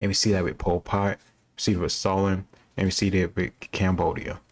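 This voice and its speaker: A man with a very deep voice talks casually as a reaction video host. His intonation stays quite flat as he reasons aloud and shares opinions in an unscripted way. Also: fairly quick, gravelly. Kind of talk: casual off-the-cuff commentary.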